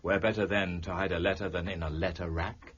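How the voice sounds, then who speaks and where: An actor playing a shrewd, self-satisfied gentleman detective in an audio drama, precise, wry and theatrical. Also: deep voice, fairly even intonation, a little fast, husky. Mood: smug, explanatory. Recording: dull, muffled audio.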